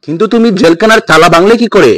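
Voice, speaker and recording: Guy doing a stern cartoon judge, kinda high voice, dry close sound, scolds formally. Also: rapid-fire, dry recording, natural voice. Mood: questioning. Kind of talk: comedy cartoon joke skit dialogue.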